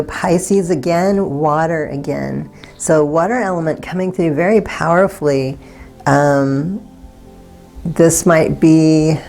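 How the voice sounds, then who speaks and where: A woman with a low, warm, slightly raspy voice gives a tarot reading. She talks in a soft, reassuring way, pausing now and then to find the right word. Faint music plays underneath. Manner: soft, unhurried and gently reassuring, pausing to search for words. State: slightly raspy from not talking all day. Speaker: tarot card reader. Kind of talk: pick-a-card tarot reading.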